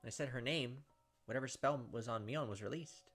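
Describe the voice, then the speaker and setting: A man's voice: medium pitch, moderate pace, a bit bright, soft background music. A young man doing casual game commentary and reading story narration aloud. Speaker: gaming video host.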